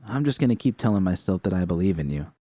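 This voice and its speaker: A man's voice: low-pitched, big pitch swings, fast, dark tone, husky, dry recording, studio quality, heavily acted. A man voicing a tough, blunt young woman mercenary who speaks with teasing caution.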